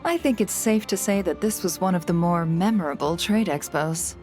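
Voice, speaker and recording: A woman playing a composed, diplomatic guild leader in a video game, measured and courteous in a formal way. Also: fairly low pitch, darkish tone, close to an everyday voice. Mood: calm approval. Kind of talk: video game dialogue acting. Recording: clear music behind.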